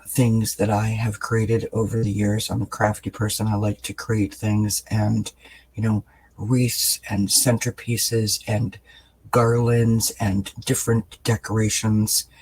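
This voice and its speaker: Guy doing a daily tarot reading, raspy just-up voice, low and kinda breathy, soft and rambly. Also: poor audio. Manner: soft-spoken, relaxed, meandering with many fillers. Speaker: tarot reader. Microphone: camera mic, above-view camera.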